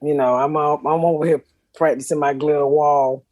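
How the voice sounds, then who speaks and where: A woman's voice: fairly even intonation, normal speed, darkish tone. A middle-aged woman, hosting a podcast in a blunt, profane way and laughing often. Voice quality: deep voice for a woman. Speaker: podcast host. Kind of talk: casual comedic chat.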